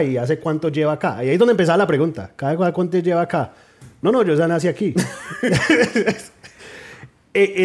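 A man's voice: fairly high pitch, slightly rough. A man from New Jersey, a guest on a podcast, telling stories in casual talk and laughing often. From New Jersey, United States.

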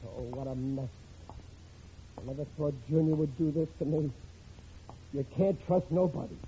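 A man's voice: fairly high pitch, a little slow, warm tone. An actor playing a hapless, self-pitying middle-aged working-class father in a 1940s radio comedy, grumbling plaintively in ungrammatical speech. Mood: aggrieved, self-pitying. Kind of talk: 1940s radio sitcom acting. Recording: muffled vintage radio recording, faint noise.

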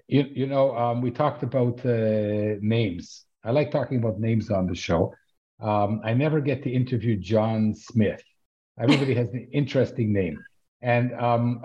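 Dull-sounding male interviewer, halting delivery. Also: mid-range voice, dark tone. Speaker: interviewer, show host. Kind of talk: conversational interview.

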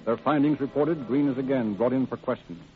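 A grave, formal crime-show narrator, played by a man, delivers dramatic scripted narration at a fast clip. Prominent music runs behind him, and the vintage radio recording is tinny. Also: mid-range voice, close to an everyday voice.

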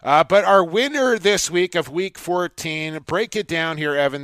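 Clear-voiced man hosting a sports podcast. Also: sits high, fairly bright tone, no echo. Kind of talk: casual sports chat.

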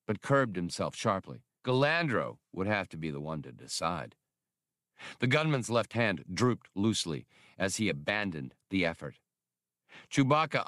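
A man's voice: lowish voice, fairly bright tone, no echo, studio quality. A man reading an adventure novel as a dramatic scripted audiobook narration.

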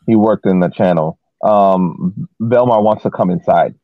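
A man on a sports podcast giving casual soccer analysis in halting phrases, through thin phone-like audio. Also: deep voice, no room sound.

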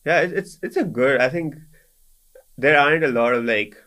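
A man's voice: mid-range voice, normal speed, sharp tone. Give me a young man from Pakistan whose first language is Sindhi, talking casually with plenty of fillers. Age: 20s. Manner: chatty, lots of fillers and restarts. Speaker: guest. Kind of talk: casual conversation.

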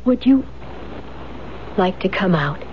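An actress playing a determined, scheming wife in a 1970s radio drama, speaking earnestly and insistently. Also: female voice, medium pitch, expressive, slow pace. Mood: pleading, gentle. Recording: muffled, faintly noisy 1970s radio broadcast.

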